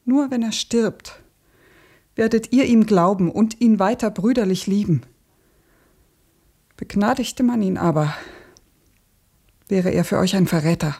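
A woman's voice: medium pitch, measured pace, long pauses, breathy edge. An actress playing a grieving, bitter woman revolutionary in a radio play, with an intense, sorrowful delivery. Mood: bitter, insistent. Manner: intense, sorrowful, sometimes dropping to a hush.

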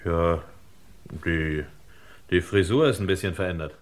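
A wary hotel guest in a radio crime play. His voice is low, and his replies are short and dry. He keeps his guard up. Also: unhurried. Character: wary, dry-witted male hotel guest held at gunpoint. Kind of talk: German radio crime drama acting.

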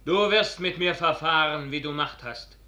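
A man's voice: a little high, moderate pace, bright, forceful tone. A man acting an impassioned young noble officer, anguished by love, fervently declaiming verse in a 1950s radio drama. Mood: wounded, reproachful. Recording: echoey 1950s radio recording.

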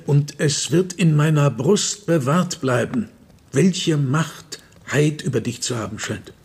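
Concerned old friend, older gentleman, very breathy husky voice, medium pitch, earnest, polite, radio play. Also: exaggerated voice. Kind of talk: German radio play acting.

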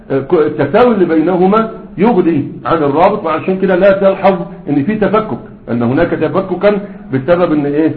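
Fast-talking Egyptian teacher, echoey phone sound. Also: male voice, on the high side, phone-quality sound. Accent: Egyptian Arabic. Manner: quizzing students with prompting questions. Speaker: grammar teacher. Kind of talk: classroom lecture on grammar. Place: classroom with students.

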